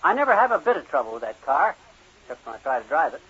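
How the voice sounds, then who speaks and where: An actor playing a stubborn, folksy, penny-pinching husband in an old-time radio comedy, blustering and arguing. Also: male voice, fairly high pitch, very animated, quick pace, a bit bright, breathy edge, heavily acted. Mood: breezy, excuse-making. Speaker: comic lead in a radio sitcom. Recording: dull vintage radio recording.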